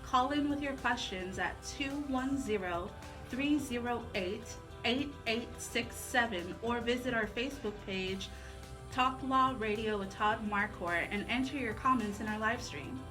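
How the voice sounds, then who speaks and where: A woman's voice: a little high, unhurried, over music, poor audio. An American woman co-hosting a live talk radio show. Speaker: radio co-host.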